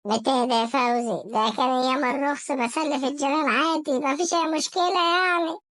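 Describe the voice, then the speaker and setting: Nonchalant, back-talking Egyptian cartoon housewife, performed by an actor, high voice, dry close recording. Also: fairly even intonation, fast, fairly bright tone, no room sound. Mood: placating. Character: Fawzia, casual, defensive cartoon housewife. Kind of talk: Arabic comedy cartoon sketch.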